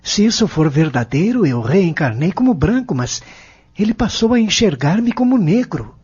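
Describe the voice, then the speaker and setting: A man's voice: sits high, very animated, normal speed, a little mellow, breathy edge, heavily acted. A man playing an earnest, reflective, kindly young man in an audio drama. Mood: puzzled, reflective. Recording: dull lo-fi audio.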